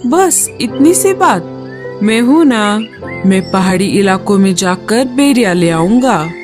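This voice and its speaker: A woman's voice: mid-range voice, expressive, slow, over music. A woman voicing Kalu, a friendly and helpful male crow in a cartoon, eager and reassuring. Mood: kindly.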